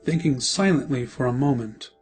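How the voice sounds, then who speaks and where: A man's voice: mid-range voice, moderate pace, music in the background. A man reading scripted story narration as the narrator of a fan-fiction drama.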